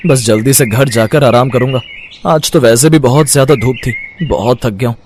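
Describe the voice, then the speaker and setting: An actor playing a weary, henpecked village food vendor in a story cartoon, expressive and given to grumbling and pleading. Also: male voice, medium pitch, normal speed, a little hiss or hum, lightly acted. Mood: tired, relieved. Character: weary, henpecked village chicken-lollipop street vendor husband. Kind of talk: animated moral-story voice acting.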